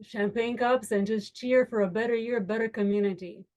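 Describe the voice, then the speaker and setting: A woman's voice: fairly high pitch, steady tone, darkish tone, poor audio. A woman from Romania, an attorney, addressing a virtual community meetup in an earnest, uplifting way on a video call. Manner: earnest, uplifting, slow and deliberate with emphatic lists. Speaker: attorney and education board member.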